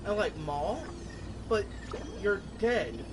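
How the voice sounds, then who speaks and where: A man streaming a game, chatting casually and telling things in a halting, confiding way. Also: high-pitched, animated. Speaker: gameplay streamer. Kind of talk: casual chat over gameplay. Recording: distant mic with clear background noise.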